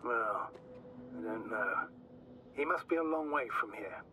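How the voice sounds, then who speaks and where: An actor playing a cautious, formal space mission commander in a video game, talking over a radio with restraint. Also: male voice, medium pitch, animated, a little slow. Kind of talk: naturalistic video game voice acting. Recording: distant radio voice in loud noise.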